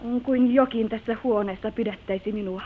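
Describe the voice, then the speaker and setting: An actress playing an unhappy young wife, torn and weary of poverty, in a plaintive, theatrical old radio drama style. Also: female voice, a little high, steady tone, natural voice. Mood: wistful, hesitant. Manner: plaintive, slow theatrical delivery. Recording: old muffled narrow-band radio recording, hissy.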